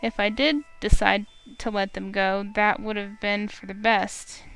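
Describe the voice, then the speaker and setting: A woman's voice: mid-range voice, flat delivery, a little slow, a bit bright, clear, resonant tone, soft background music, rough recording. A woman streamer reading a visual novel aloud, narrating expressively in first person.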